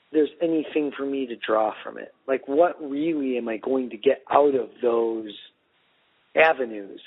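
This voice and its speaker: Husky man rambling over a phone line. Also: mid-range voice. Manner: rambling, animated, lots of "like". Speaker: podcast co-host. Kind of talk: casual phone conversation.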